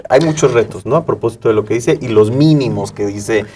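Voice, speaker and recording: A man's voice: mid-range voice, fairly quick, a little mellow, slight background noise. Man, talk show co-host, conversational, fragmented phrasing, slightly distant microphone. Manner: fragmented, often seeks agreement with tag questions. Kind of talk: conversational studio interview. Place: internet TV studio.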